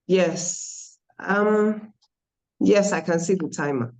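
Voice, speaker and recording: Female contestant, lowish register, deliberate formal delivery over a video call. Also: a little mellow, low-quality recording. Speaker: speech contestant. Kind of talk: prepared storytelling speech.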